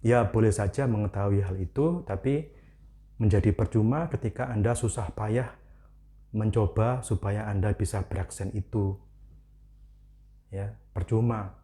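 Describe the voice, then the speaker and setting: Relaxed low-voiced Javanese-accented man. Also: unhurried, long pauses. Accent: Javanese, Malang. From Malang, East Java, Indonesia. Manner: relaxed, chatty, frequent "ya" fillers, light laughs. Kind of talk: casual spoken commentary.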